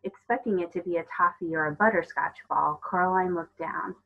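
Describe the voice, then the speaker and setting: A woman reads a children's novel aloud in a lowish voice with a slightly husky grain. She narrates as a storyteller, and the recording sounds a bit dull. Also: brisk. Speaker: storybook reader.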